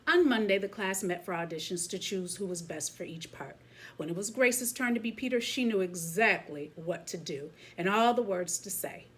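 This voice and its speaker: A woman reading a children's picture book aloud as a teacher would, expressively, pausing to comment to young listeners. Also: medium pitch, animated, slight background noise.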